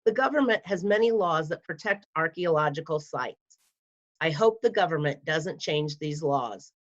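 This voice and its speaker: A woman with a fairly low voice reads aloud to young pupils like a school librarian. Her delivery is careful and clearly scripted for children. The recording sounds somewhat dull and lo-fi. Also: lively intonation, normal speed. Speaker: school media specialist reading an article aloud to pupils.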